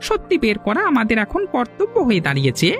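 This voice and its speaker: A nosy young college lad with a lively, swooping delivery, always suspecting his friend of something shady, as an actor plays him. Light music sits under the voice. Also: mid-range voice, quick pace. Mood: suspicious. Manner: suspicious, prying. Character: suspicious young male college student.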